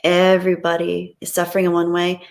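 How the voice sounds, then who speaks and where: A woman's voice: fairly low pitch, monotone, brisk. A woman hosting a livestream, chatting casually and reading out viewer comments in a gushing, appreciative, playful way. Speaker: livestream host.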